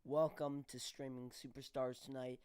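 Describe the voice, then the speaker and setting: A man's voice: mid-range voice, little pitch movement, moderate pace, a little mellow, clear, resonant tone. A man hosting a livestream interview, congested from a cold, upbeat and asking curious questions in casual chat. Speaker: livestream host. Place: home streaming studio.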